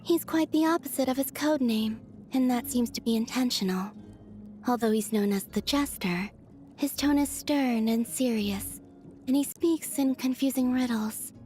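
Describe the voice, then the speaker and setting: An actress playing a dreamy, otherworldly young moon maiden in a video game, speaking in a soft, airy, musing way with innocent matter-of-factness. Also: female voice, a little high, moderate pace, natural voice. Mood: thoughtful. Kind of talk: scripted video game character voice lines. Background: background music.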